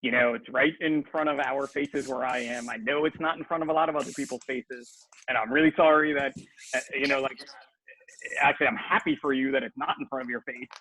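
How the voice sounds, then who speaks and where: A man's voice: medium pitch, steady tone, brisk, bright, forceful tone. A man chatting casually and emphatically as a guest on a panel podcast, in informal language. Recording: noisy live call, clicks.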